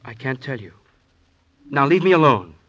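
A man's voice: mid-range voice, lively intonation, normal speed, a bit bright, lo-fi sound. An actor playing a nervous, desperate male assassin, agitated and evasive, in 1950s radio drama style. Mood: guarded, evasive.

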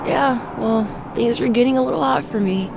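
A woman's voice: fairly high pitch, normal speed. A woman playing a tough, guarded young cat burglar with a defiant, dramatic delivery in an audio drama. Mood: sardonic. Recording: clear noise and ambience behind voice.

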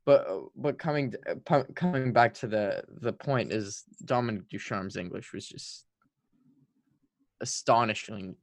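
A man's voice: on the low side, a little slow, no echo. A French-speaking man co-hosting a casual sports podcast, talking in an emphatic, hyperbolic way. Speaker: hockey podcast co-host.

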